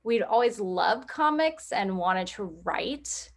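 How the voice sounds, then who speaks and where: A woman talking casually as an interview guest, pausing with ums. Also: mid-range voice, expressive, creaky, crowd noise. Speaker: comic book writer, podcast guest.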